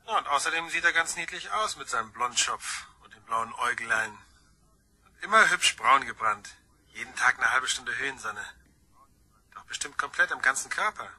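An actor playing a sly, taunting anonymous blackmailer in a crime radio drama, insinuating and mock-sweet. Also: male voice, mid-range voice, pushed and bright, husky, exaggerated voice.

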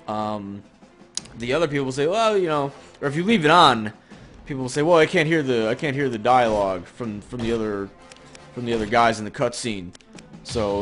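A young man giving casual gameplay commentary, rambling and sarcastic with some swearing. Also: mid-range voice. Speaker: gaming video host. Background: game music and effects.

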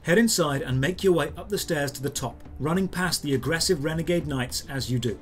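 A man reading a scripted step-by-step game tutorial in a clear, instructional way. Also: fairly high pitch, fairly quick. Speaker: video guide narrator. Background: background music.

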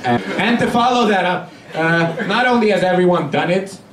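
A young man from Hungary doing a conversational, halting stand-up routine with acted-out dialogue, recorded from afar in a small club. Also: high-pitched, lively intonation, a loud crowd. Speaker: stand-up comedian. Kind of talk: live stand-up comedy routine. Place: small dark comedy club stage, audience present. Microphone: handheld stage mic heard from a far camera. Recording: distant camera recording, echoey club, loud audience noise.

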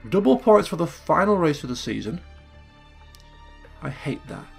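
A man hosting a casual gameplay commentary, thinking aloud and correcting himself as he goes, his voice a little croaky from just waking up. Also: on the high side, sing-song, normal speed, faint music behind. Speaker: let's play host.

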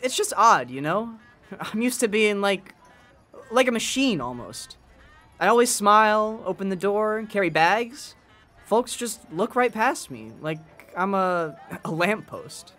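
A woman's voice: mid-range voice, sing-song, a little slow, a bit bright, soft background music. A woman playing an earnest, polite young bellboy in a 1920s-style radio drama, speaking in a hesitant, eager, deferential way.

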